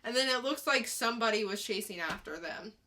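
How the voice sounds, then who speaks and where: A woman's voice: fairly high pitch, lively intonation, fairly quick, pushed and bright, slight background noise. A woman co-hosting a podcast, reviewing comics in a casual, blunt, sweary manner. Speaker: podcast co-host.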